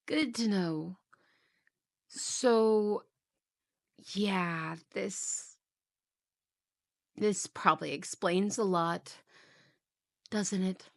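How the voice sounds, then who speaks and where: A woman's voice: mid-range voice, drawn-out words, creaky, long pauses. A woman playing a cheerful, chatty elf who loves Christmas and passes as a human roommate, in an ASMR roleplay. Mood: sheepish, awkward. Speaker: roleplay voice actress.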